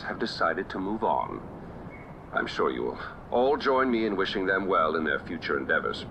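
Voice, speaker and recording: An actor playing a flamboyant, sardonic, self-assured tyrant king in a video game, with mock-polite phrasing and deliberate pauses. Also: sits low, muffled recording, background noise, exaggerated voice. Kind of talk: video game cutscene acting.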